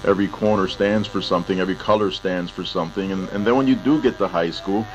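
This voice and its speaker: A radio host with a very low, deep voice, chatting casually with his guest and often restarting his sentences. Faint music plays behind him. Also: fast, low-quality recording. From Puerto Rican, Chicago. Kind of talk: casual interview conversation.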